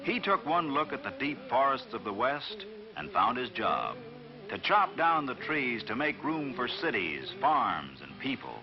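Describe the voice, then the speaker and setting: A man narrating a scripted children's folk tale in a dramatic, expressive storytelling manner. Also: medium pitch, measured pace. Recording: dull vintage recording, faint music behind.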